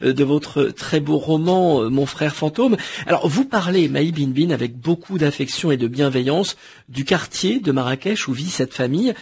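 A man hosting a radio book interview, asking formal, measured questions. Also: a little high, a little mellow, slightly rough. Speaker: radio host.

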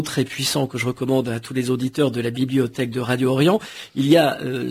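Husky male radio host, measured. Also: mid-range voice, darkish tone. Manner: measured, formal questions. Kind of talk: radio interview.

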